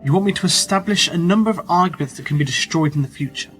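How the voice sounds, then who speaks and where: A sceptical, guarded writer played by a male actor in a radio drama. His voice is somewhat high for a man, with a dry, challenging way of putting questions. Faint music plays behind him. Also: fast talker, fairly bright tone. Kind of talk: radio play dialogue.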